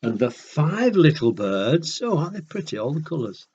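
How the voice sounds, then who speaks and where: A man's voice: a little high, very animated, normal speed, a little mellow, dull audio, a little hiss or hum. A man reading a picture book to children expressively, with chatty conversational asides to his young listeners. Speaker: children's storyteller.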